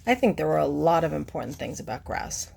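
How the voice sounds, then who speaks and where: A woman's voice: deep voice, sing-song, fairly quick, creaky, a little mellow, a little hiss or hum. A woman reading a picture book aloud to children, adding simple teacherly explanations and putting questions to the listener. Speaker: children's read-aloud presenter.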